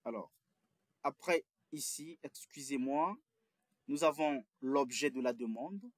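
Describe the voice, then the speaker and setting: A man's voice: mid-range voice, slow, no room sound, clean studio sound. A man giving a step-by-step lesson on writing an internship application, restating his points carefully. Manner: methodical, repeats and restates points. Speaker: instructor. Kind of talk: tutorial on writing job applications.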